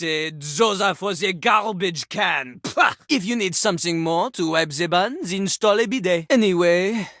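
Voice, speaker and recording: Conceited talking toilet, high-pitched male game character, wildly animated, scornful self-styled rap genius, theatrical, third-person bragging. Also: dry recording, studio quality. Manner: pompous, talks of himself in the third person.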